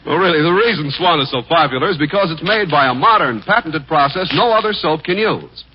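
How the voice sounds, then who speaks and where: A male radio announcer delivers a polished 1940s sales pitch. His voice runs a little high and moves around with lively emphasis. The vintage recording is dull and noisy. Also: a little fast.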